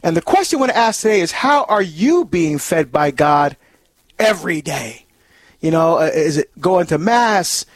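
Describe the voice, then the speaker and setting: Upbeat high-voiced radio host deacon. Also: male voice, moderate pace, dry recording. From Barbados. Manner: upbeat, animated, fond of exclamations.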